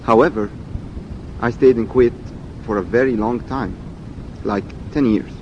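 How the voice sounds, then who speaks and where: A man in his twenties from Egypt with an Egyptian accent and Arabic as his first language, reading a set passage and then talking about himself with many fillers and repeated words. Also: moderate pace. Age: 20s. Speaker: dialect archive speaker. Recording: dull recording with loud background rumble.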